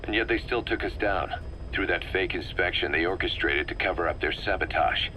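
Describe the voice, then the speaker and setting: A man's voice: low-pitched, fairly quick, sharp tone, a little hiss or hum, rough recording. An actor playing an intense, bitter male mercenary commander, giving emphatic, driven briefings in a video game.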